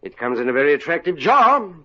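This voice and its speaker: A grumpy sarcastic company boss. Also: male voice, fairly high pitch, very animated, quick pace, bright, forceful tone, full character voice. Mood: wheeling-dealing. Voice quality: sputtering, sarcastic. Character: grumpy middle-aged male company boss. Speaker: company owner. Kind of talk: 1950s radio comedy acting. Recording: muffled old radio broadcast.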